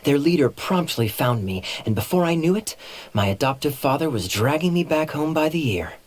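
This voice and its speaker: A man playing a suave, sly young knight captain in a video game, teasing and evasive, with smooth theatrical irony. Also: fairly high pitch, expressive, normal speed. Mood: wry, reminiscing. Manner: smooth, theatrical, playfully ironic. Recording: soft music behind the voice.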